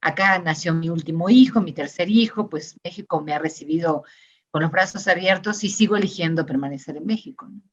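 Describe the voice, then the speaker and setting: A middle-aged Argentine woman with an Argentine Spanish accent, answering interview questions in a thoughtful, searching way with many pauses and restarts. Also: lowish voice, dull audio. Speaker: interviewee, discourse analysis scholar. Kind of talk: reflective conversational interview.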